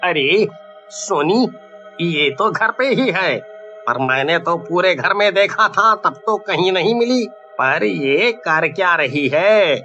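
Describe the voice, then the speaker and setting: Man acting anxious husband, bright high-pitched voice. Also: expressive, slow pace, highs cut off, soft background music, heavily acted. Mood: bewildered. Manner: exclamatory, anxious, muttering questions to himself. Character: worried, bewildered husband searching for his missing wife. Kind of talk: dramatized Hindi animated horror-story acting.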